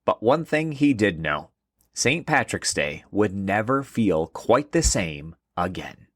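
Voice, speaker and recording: A man hosting and narrating a scripted children's story in an expressive storytelling manner. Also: fairly low pitch, takes it slowly, no room sound, clean studio sound. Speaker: host and narrator.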